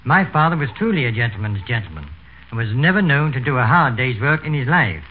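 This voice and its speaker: English male butler, formal and courteous scripted talk, mid-pitched, thin phone-like vintage radio sound. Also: big pitch swings, brisk, breathy edge. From England. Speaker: butler, guest speaker.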